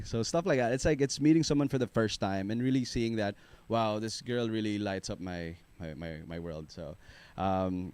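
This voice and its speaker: A young man from the Philippines with a low baritone voice, chatting in a relaxed, rambling way as a singer-songwriter guest in a radio studio. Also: smooth, no echo. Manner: relaxed, rambling, filler-heavy, laughs easily. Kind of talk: casual radio interview chat.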